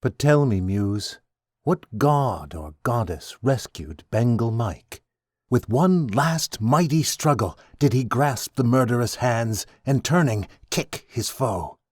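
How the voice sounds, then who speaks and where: Expressive man reading mock-epic verse. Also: medium pitch, slow pace, dry recording, studio quality. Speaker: poetry reader in a group recording.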